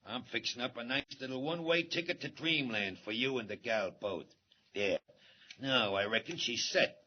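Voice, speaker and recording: A man's voice: mid-range voice, normal speed, natural voice. An actor playing a slangy, menacing male gangster henchman in a 1940s radio drama. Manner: slangy tough-guy drawl. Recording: muffled old radio recording with hiss.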